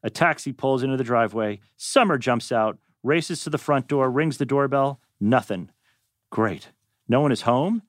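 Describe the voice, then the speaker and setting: A man narrating stage directions from a script in an animated way. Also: medium pitch, normal speed, no room sound, studio quality.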